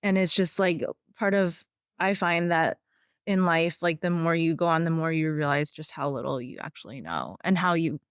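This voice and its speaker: A woman's voice: sits low, moderate pace, clean tone, dry recording, clean studio sound. A woman hosting a podcast interview, speaking in a thoughtful, conversational way with many fillers. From of Taiwanese family background. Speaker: podcast host. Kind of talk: casual interview.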